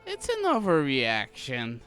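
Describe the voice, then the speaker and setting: Casual playthrough commentator, unhurried and middle-pitched, with game music behind. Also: wildly swooping pitch. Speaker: game player.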